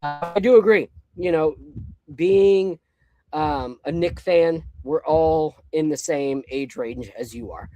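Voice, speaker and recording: A man's voice: fairly high pitch, slow, mellow, faint music behind, poor audio. A man in his early forties hosting a podcast, chatting casually and candidly with jokes. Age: 40s. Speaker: podcast host.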